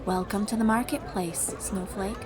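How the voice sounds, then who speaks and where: A woman playing an elven ice sorceress, a gracious, formal and gently proud guide speaking unhurriedly to the listener in an audio roleplay. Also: medium pitch, steady tone, normal speed, a crowd in the background, background noise, lightly acted. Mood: proud, welcoming. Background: music.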